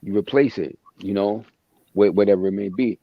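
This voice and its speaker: Deep voiced guy going off on a point, repeats himself a lot, dry muffled mic. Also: normal speed, no echo. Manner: passionate, emphatic, repeats himself. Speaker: podcast host. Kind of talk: casual chat. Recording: dry, dull lo-fi sound.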